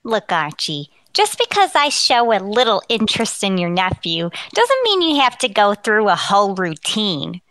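Female actor for indignant, sharp-tongued barroom gal in vintage radio comedy; animated delivery, bright voice. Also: mid-range voice, moderate pace, hardly any pauses, no echo. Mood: huffy, haughty. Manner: haughty, indignant, snappy comebacks. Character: man-hungry, easily offended, sharp-tongued woman at a tavern. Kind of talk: 1940s radio comedy acting.

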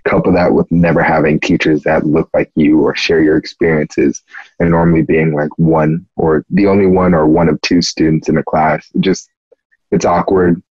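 A teenage boy speaking in a measured, earnest way over a video call for a documentary interview. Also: extremely low pitch, level tone, darkish tone, slightly rough, no room sound. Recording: dull dry webcam audio.

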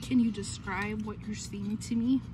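A woman playing a calm doctor in an amateur skit, questioning a troubled patient in a measured way. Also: mid-range voice, voice pushed down, natural voice. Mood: calm, probing. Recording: noisy homemade recording.

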